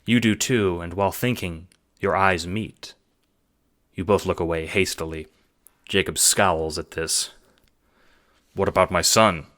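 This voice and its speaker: A man's voice: sits low, steady tone, a little slow, fairly bright tone. A man reading scripted audiobook narration as the narrator of an audio drama. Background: music.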